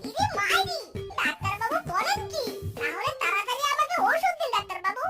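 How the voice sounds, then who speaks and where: An actor voicing a whiny, excitable, henpecked husband in a children's fox cartoon, full of exclamations. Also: very high-pitched, fairly quick. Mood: pleading, excited. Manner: exclamatory, with a repeated catchphrase. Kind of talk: exaggerated children's cartoon voice acting. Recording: very echoey, distant, music underneath.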